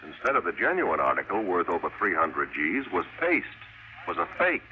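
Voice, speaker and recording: A man's voice: low-pitched, huge pitch swings, moderate pace, bright, forceful tone, heavily acted. An American man playing a coy insurance bureau boss who explains and hints in a 1950s radio drama. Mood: earnest briefing. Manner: explanatory, hinting, folksy slang. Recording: faintly noisy vintage radio recording.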